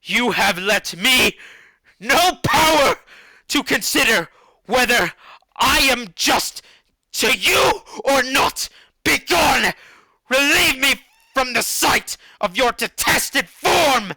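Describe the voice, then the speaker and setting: A man's voice: extremely high pitch, expressive, slow, creaky, sharp tone, no echo, voice pushed up, heavily acted, rough recording. A man playing a horrified, wrathful scientist who rejects his creation, declaiming vehemently in a dramatic literary style. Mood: anguished, cursing.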